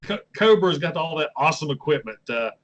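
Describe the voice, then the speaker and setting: A man from West Virginia hosts a relaxed chat show, his accent plain in every line. He sounds easygoing and folksy, laughing often, with a voice that sits a little higher than most men's. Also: brisk. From West Virginia, USA. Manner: laid-back, laughs easily, folksy. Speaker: YouTube show host. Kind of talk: casual interview chat.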